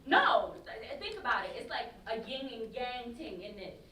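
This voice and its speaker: A woman's voice: a little high, very animated, normal speed, bright, forceful tone. An actress in a staged play reading, playing an exasperated, teasing young woman who talks in emphatic slang. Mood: earnest reasoning. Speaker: student actor in a staged reading. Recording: roomy live stage, distant mic, background noise.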